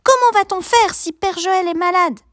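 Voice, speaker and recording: A woman's voice: extremely high pitch, normal speed, a bit bright, no echo, clean studio sound, pitched above the performer's own voice. A woman voicing an anxious, whiny young boy in a children's story. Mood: whining.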